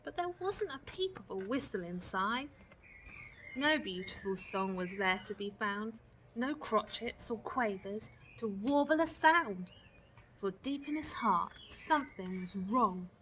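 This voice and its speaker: A woman reading a rhyming children's story aloud in an expressive, dramatic, deliberate storytelling style. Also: mid-range voice, slight background noise, low-quality recording. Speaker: storytime reader.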